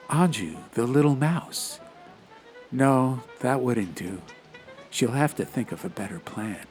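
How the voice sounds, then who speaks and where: A man's voice: mid-range voice, low-quality recording. A man narrating a fantasy audiobook in a dramatic, literary style. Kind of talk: scripted fantasy story narration. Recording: music behind the voice.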